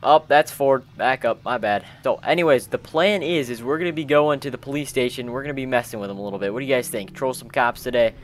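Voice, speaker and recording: A man with an average-pitched voice commentates casually on a game he is playing. He talks loosely and chattily with slang, like he is hanging out with buddies. Faint vehicle noise runs behind him. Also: fast talker, hardly any pauses. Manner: chatty, informal, peppered with bro-style slang. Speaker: gaming video host. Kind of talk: casual roleplay commentary while playing a video game with friends.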